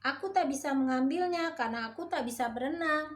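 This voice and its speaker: A woman's voice: high voice, fairly quick, pitched above the performer's own voice, natural voice. Sly greedy monkey, as a woman puts him on. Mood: eager. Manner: sweet-talking, coaxing. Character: sly greedy male monkey coaxing his friend.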